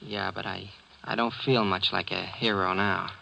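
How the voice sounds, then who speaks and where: Hesitant, bashful teenage son in radio drama. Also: male voice, sits low, fairly even intonation, moderate pace, creaky, highs cut off, loud music behind the voice. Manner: hesitant, stammering, boyish slang. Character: uneasy, bashful teenage son, evasive impostor-like. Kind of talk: 1940s radio acting. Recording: old 1940s radio drama recording.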